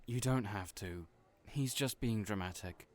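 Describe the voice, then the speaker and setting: A man playing a kind, gentle friend in an audio roleplay, who speaks hesitantly with frequent fillers. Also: lowish voice, unhurried, natural voice.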